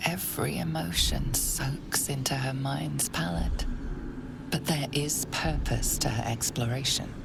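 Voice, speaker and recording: An actress playing a solemn, mysterious narrator in a dark fantasy game, measured and ominous, with a gravelly, creaky voice. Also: female voice, low voice, very noisy, exaggerated voice. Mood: ominous, intimate.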